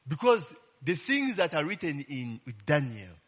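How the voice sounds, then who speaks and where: Lively, bright-voiced preacher. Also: male voice, a little high, measured pace, husky. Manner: call-and-response, frequent "eh?" tag questions; exclaims "Glory be to God" and "Hallelujah". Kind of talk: casual Bible teaching sermon.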